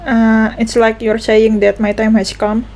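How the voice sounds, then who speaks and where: Young woman, Indonesian accent, casual game commentary, playful, fairly high pitch, faint background ambience. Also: little pitch movement, a little slow, a little mellow, slight background noise. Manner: playful, giggly, mixes languages. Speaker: game streamer reacting to a story quest. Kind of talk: casual live gameplay commentary.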